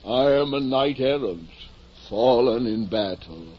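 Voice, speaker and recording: An actor playing a deluded, pompous aging gentleman who thinks he is a knight, declaiming theatrically in a 1950s radio drama. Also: medium pitch, animated, a little slow. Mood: pained, groaning. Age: middle-aged. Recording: muffled, noisy old radio recording.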